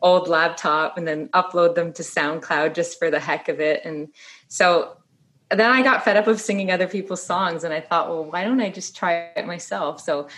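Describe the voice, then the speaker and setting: A Canadian woman in her thirties speaks as an interview guest, her voice sitting on the low side. She tells her story in a loose, chatty way full of "um" and "like". She softens and drops quieter when a subject gets hard. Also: moderate pace. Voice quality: low voice for a female singer. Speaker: guest singer-songwriter.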